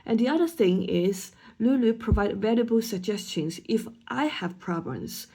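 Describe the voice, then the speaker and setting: A woman's voice: mid-range voice, lively intonation, normal speed, vocal fry, dark tone, breathy edge. A woman whose first language is Chinese, a student teacher giving a hesitant class presentation with frequent ums and restarts.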